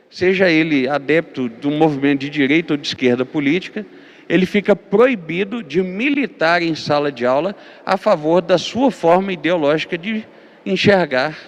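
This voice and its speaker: A man's voice: on the high side, moderate pace, long reverb tail. A Brazilian man with a Minas Gerais accent, a pastor giving a lecture-style teaching, hesitating with fillers and repeated words, then driving his points home with emphatic lists. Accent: Brazilian Portuguese, Minas Gerais.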